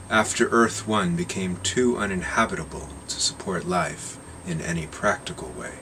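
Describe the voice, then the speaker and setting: A man with a deep, low voice reads a short story aloud. His delivery is slow and measured, and he lingers over each phrase. A faint hum of air conditioning sits behind him. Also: pushed and bright, rough recording. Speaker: narrator reading his own short story.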